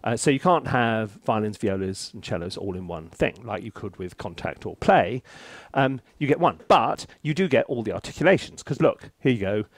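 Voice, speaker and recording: A man's voice: on the low side, expressive, moderate pace, no echo. A British man presenting a casual, chatty tutorial with jokey, theatrical asides, often interrupting himself. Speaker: composer reviewing music software, presenter.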